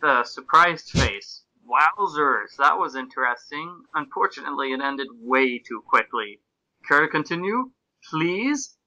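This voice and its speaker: A man's voice: on the high side, big pitch swings, a little slow, bright, forceful tone, poor audio. A man reading fan fiction and reader comments aloud in a casual, comic podcast style.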